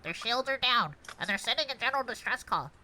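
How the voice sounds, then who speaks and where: A woman's voice: a little high, animated, fast, sharp tone. A woman playing a dutiful droid co-pilot in a script read, giving formal, precise status reports. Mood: formal reporting. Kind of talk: fan script table read, play-by-play reporting. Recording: clear background noise.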